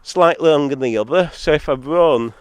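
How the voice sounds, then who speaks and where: A man's voice: brisk, darkish tone, smooth, no room sound. Sardonic man with a head cold, clipped audio. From Zambia-born, living in England. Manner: argumentative, sardonic, wandering asides. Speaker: solo video maker. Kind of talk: rambling outdoor vlog monologue. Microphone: phone or camera, recorded too hot. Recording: distorted, clipping from high recording level.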